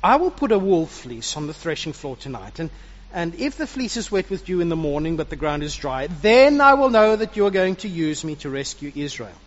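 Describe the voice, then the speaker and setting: A doubting young farmer , as a preacher puts him on, pleading in a high, swooping voice that jumps up in pitch. The recording is dull and lo-fi, with a long hall reverb. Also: male voice, very animated, a little fast. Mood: bargaining. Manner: pleading, anxious.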